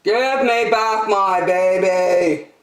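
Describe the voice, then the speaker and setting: A woman's voice: lowish voice, expressive, takes it slowly, roomy sound. A woman voicing a young girl's earnest, protective father in a children's storybook, with an emphatic, cautioning manner. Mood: alarmed. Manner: emphatic, instructing. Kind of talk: children's storybook read aloud.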